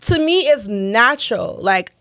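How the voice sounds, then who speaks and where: A woman with a Nigerian-American accent chatting casually as a guest, animated and laughing often, repeating words as she hunts for the next one. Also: on the high side, measured pace. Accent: Nigerian-American, switching between Nigerian and American. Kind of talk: casual chat.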